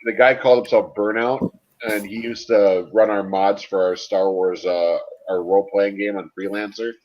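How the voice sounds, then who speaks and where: A man with a slightly raspy voice, casually telling stories on a stream in an easygoing way. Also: on the low side, steady tone, normal speed. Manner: easygoing storyteller. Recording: dull lo-fi mic.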